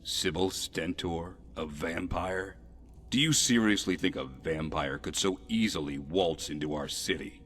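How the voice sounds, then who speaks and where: An actor playing a gruff, weary, stern city guard officer with a sardonic streak in a fantasy video game. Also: male voice, low-pitched, measured pace, fairly bright tone. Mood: stern, irritated. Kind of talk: video game character dialogue.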